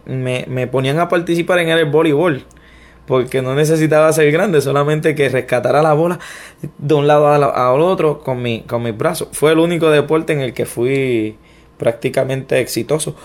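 A man's voice: fairly high pitch, moderate pace. A young adult man with a Puerto Rican accent, telling his life story as an interview guest, with many restarts and a self-deprecating manner. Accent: Puerto Rican Spanish. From Puerto Rico. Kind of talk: personal testimony interview.

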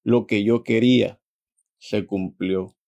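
A man from Venezuela with a Venezuelan accent, giving a reflective personal faith testimony in measured, halting phrases. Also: lowish voice, steady tone, gravelly, darkish tone, dull audio. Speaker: church member giving a testimony.

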